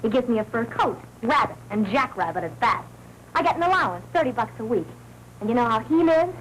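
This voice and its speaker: An American actress playing a scheming, defiant young wife in a 1940s noir film, with an old soundtrack sound. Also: female voice, fairly high pitch, lively intonation, a little fast, fairly bright tone, a little hiss or hum, low-quality recording. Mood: greedy, excited.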